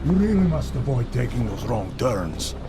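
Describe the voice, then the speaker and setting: An actor playing a menacing male villain in a video game, speaking in a measured, ominous, formal way. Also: mid-range voice, big pitch swings, music in the background. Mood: cold menace. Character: cold, menacing male villain, relishing slow death.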